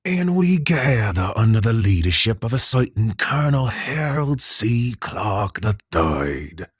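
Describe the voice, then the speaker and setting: Game villain: husky, slow-talking menacing gunslinger, sardonic, lively swings in pitch. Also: male voice, no echo, studio quality, full character voice. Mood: taunting, boastful. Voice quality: husky, raspy. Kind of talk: dramatic video game cutscene acting.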